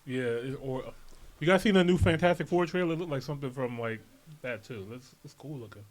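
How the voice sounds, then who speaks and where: A man's voice: medium pitch, a little fast, poor audio. An American man hosting a reaction video in a laid-back, slangy chat. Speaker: reaction channel host.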